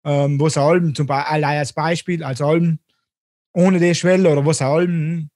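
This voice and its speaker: A man in his thirties with a South Tyrolean German accent, hosting an interview over a video call from a small dim room, talking haltingly with many restarts. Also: on the high side, steady tone, darkish tone, dry recording, studio quality. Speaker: host. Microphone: video call webcam.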